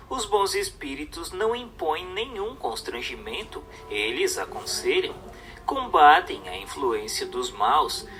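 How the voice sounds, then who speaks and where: A man reads a book as a scripted narration, in a measured, didactic way. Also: a little high, sharp tone. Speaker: audiobook narrator. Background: music.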